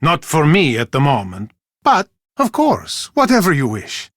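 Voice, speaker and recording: An actor playing a charming, flirtatious Venetian crime broker in a video game, with an Italian accent and a formal, courteous manner. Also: male voice, on the high side, huge pitch swings, unhurried, fairly bright tone, dry recording, studio quality, heavily acted. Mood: courteous, businesslike. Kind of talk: theatrical video game dialogue.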